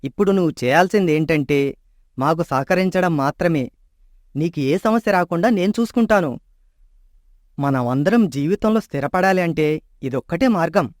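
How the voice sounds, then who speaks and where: A man's voice: on the high side, expressive, normal speed, long pauses, no room sound, studio quality, natural voice. A man playing a scheming, sneering schemer. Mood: coaxing. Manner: persuasive, sneering. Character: scheming greedy man, persuasive villain.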